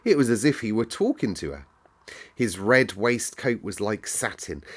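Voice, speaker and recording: A man hosting storytime, reading expressively and talking informally to his listeners. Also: on the low side. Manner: animated, informal, addresses listeners directly. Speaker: storytime reader and host. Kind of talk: expressive children's storybook read-aloud with chatty asides.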